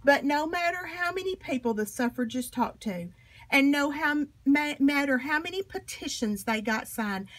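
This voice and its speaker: A woman reading a children's picture book aloud with expressive, emphatic phrasing. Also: high voice, normal speed. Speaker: storybook reader.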